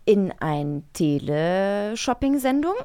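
A woman's voice: medium pitch, big pitch swings, takes it slowly, mellow, clean tone. A woman co-hosting a podcast, chatting casually. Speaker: podcast co-host. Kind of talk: casual chat.

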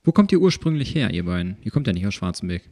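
A man's voice: on the low side, very animated, fast talker, mellow. A man hosting a casual podcast, asking his guests loose, chatty questions. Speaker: podcast host.

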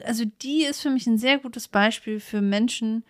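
A woman's voice: fairly high pitch, normal speed, no room sound, studio quality. A woman co-hosting a casual podcast, talking informally and thinking aloud in loosely built sentences. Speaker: podcast co-host.

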